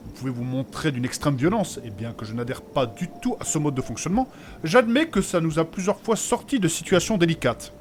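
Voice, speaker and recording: A man plays a prim, earnest mage from a fantasy audio drama. His voice sits fairly high and his phrasing is courteous, pompous and old-fashioned. A faint noise lies under the recording. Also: expressive, a little fast, natural voice. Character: formal, principled religious male mage, earnest and prim. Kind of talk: audio fantasy comedy acting.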